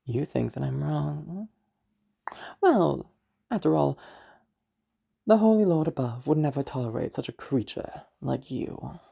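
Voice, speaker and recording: Woman playing a gravelly, controlling, sanctimonious mother. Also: low-pitched, animated, dark tone, full character voice. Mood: smug. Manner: condescending, slow deliberate taunting with hums. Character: controlling, sanctimonious, menacing mother of a grown daughter. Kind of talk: amateur audio roleplay drama.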